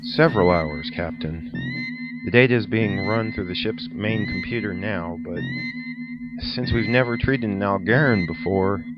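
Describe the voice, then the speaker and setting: Casting: man as frank, caring space doctor for audio drama, fairly deep, slightly muffled recording. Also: animated, measured pace, loud music behind the voice. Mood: measured, reassuring. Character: candid, concerned male starship doctor.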